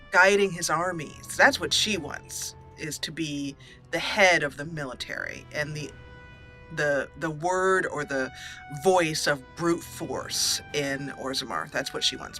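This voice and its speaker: Bright-voiced woman narrating a game roleplay. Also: on the low side. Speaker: let's play host. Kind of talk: roleplay storytelling over gameplay. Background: faint game music.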